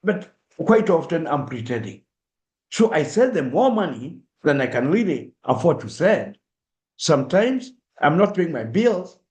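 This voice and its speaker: A man's voice: on the high side, darkish tone. A middle-aged man with a Kenyan accent giving an earnest, direct public talk and acting out examples in the first person. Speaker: speaker at a diaspora awareness event. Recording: echoey room.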